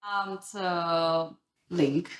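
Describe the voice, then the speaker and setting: Slow-speaking woman host in an echoey room. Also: lowish voice, fairly even intonation. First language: Korean. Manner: attentive, many short listening sounds, simple English. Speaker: interviewer, host. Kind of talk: casual interview. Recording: roomy echo.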